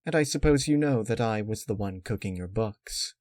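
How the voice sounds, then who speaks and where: A man voicing a scheming young female pony, smug and taunting in a measured way, in a dark storybook reading. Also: fairly low pitch, lively intonation, no echo, clean studio sound, close to an everyday voice.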